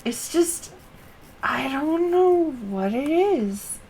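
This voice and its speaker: A woman reading an excitable young fighter. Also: high-pitched, extremely slow, a little hiss or hum, pitched above the performer's own voice, full character voice. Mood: excited. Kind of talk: reading game dialogue aloud.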